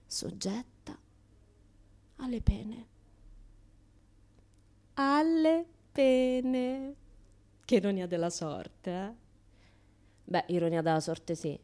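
An actress playing a self-styled naive, defiant young woman in a colloquial radio theatre monologue, with a Roman Italian accent, swinging between hushed asides and louder outbursts. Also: on the low side. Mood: provocative, sardonic, then flippant, chatty.

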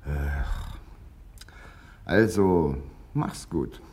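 An actor playing a needy, petulant young man in a radio drama, husky, moving between sulky muttering and bombastic ranting. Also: extremely low pitch, lively intonation, very slow, darkish tone, heavily acted. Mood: sullen confessing. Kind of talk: theatrical radio drama acting.

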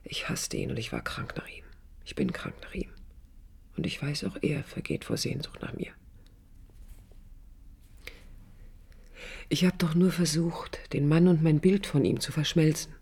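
Intense, husky young woman architect. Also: low-pitched, very animated, moderate pace, a little mellow, in a whisper, heavily acted. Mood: anguished, bitter. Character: young idealistic woman architect, passionate and defiant, narrating her life. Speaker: lead actress and narrator. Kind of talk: German radio drama, introspective first-person narration. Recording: 1980s radio play production.

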